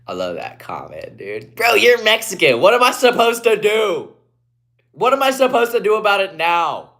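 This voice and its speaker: A young man from Guatemala on a casual live stream, reading comments aloud and answering in a laid-back way, often trailing off quietly, through a phone propped on a ledge. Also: sits high, big pitch swings, a little slow, long pauses. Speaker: livestreamer.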